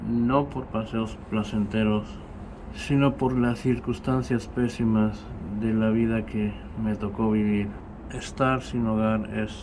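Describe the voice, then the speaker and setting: Deep-voiced man reciting poetry over background hum. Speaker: poet. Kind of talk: spoken poetry reading. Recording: clear air conditioner or traffic hum.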